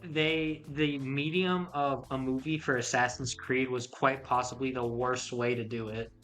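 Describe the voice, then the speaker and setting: A man's voice: mid-range voice, fairly even intonation, a little slow, a bit bright, soft background music, low-quality recording. A young man co-hosting a casual podcast chat, rambling with many restarts and fillers. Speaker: podcast co-host.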